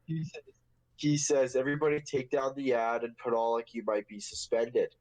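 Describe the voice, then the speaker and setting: A man's voice: medium pitch, flat delivery, normal speed, a little mellow, rough recording. A young man hosting a casual podcast, telling a story in a rambling, profane, joking way. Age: young adult. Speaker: podcast host.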